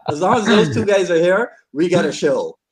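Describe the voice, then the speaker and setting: A man's voice: high-pitched, animated, moderate pace, over a noisy crowd, low-quality recording. Chatty man hosting a show. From Barbados. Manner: chatty and jokey, laughs often, repeats words as he goes. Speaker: podcast host. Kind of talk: casual live-stream chat.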